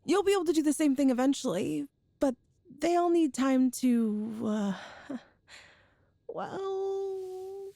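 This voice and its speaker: A very high, unhurried young woman's voice performed by an actress, recorded close and dry. She is a tender, nervous girlfriend in an intimate ASMR roleplay, halting and earnest as she explains herself. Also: expressive, mellow, dry recording, heavily acted. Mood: sheepish. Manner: hesitant, halting, earnest, breathy sighs and nervous laughs.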